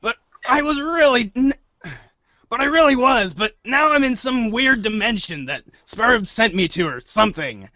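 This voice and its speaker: A woman's voice: fairly high pitch, expressive, normal speed, sharp tone, dry recording, lo-fi sound. A woman acting in a casual comic table read, hesitant and a bit exasperated, breaking off for asides. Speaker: actor in a reader's theater show.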